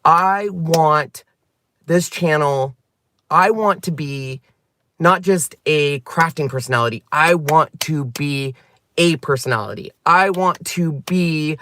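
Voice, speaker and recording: A man hosting a crafting channel, talking candidly and earnestly to his viewers from his car, his voice climbing higher when he gets emphatic. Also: slow, pushed and bright, slight background noise. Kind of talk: candid livestream-style chat to viewers. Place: sitting in a car.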